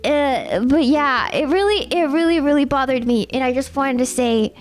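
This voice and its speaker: A young woman with a Canadian accent chats and rambles on a livestream, talking straight to her viewers. Her voice sits high and leaps around with lively swings in pitch. Soft music plays faintly behind her. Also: normal speed. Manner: chatty, rambling, addresses viewers as Cookie. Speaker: streamer. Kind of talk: casual chat with chat readers.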